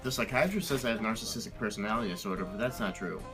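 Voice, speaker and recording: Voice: mid-range voice, expressive, racing, music in the background, lightly acted. An amateur performer playing a vain, defensive, self-obsessed monster in an audio fairytale parody.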